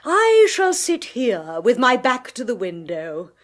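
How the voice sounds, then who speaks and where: An actress playing a bossy, imperious spinster who works as a medium, giving commands in a grand and impatient way in a radio play. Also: female voice, a little high, huge pitch swings, takes it slowly, full character voice. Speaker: medium and clairvoyant.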